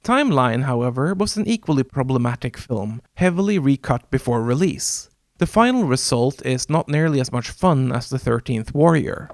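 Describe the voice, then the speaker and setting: A man whose first language is Norwegian, hosting a video essay in a measured, wry scripted narration with dramatic pauses. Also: mid-range voice, lively intonation. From Norway.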